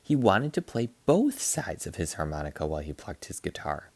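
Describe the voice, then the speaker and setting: Animated, low-voiced man reading a storybook. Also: normal speed, slightly rough, dry recording. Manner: animated, dramatic emphasis. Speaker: teacher reading a picture book aloud. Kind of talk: expressive children's read-aloud narration.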